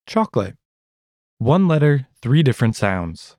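A man with an American accent hosting a scripted language lesson podcast in an upbeat, expressive way. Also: mid-range voice, a little slow, no echo, studio quality. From United States. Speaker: podcast host.

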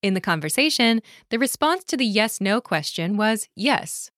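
Clear woman narrating a language lesson. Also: mid-range voice, animated, a bit bright, no room sound, clean studio sound, faint music behind. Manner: clear, measured instructional delivery. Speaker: course narrator.

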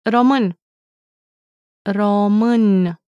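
Slow careful woman language teacher. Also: medium pitch, warm tone, smooth, no echo, dull audio. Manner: slow careful pronunciation with repeats. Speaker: native-speaker language teacher. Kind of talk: slow scripted language lesson.

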